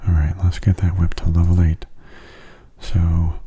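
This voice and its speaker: Very soft, dark-voiced man, low ASMR murmur. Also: monotone, measured pace, slightly rough. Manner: hushed, slipping into whispers. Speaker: video game streamer. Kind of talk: soft-spoken ASMR gameplay commentary.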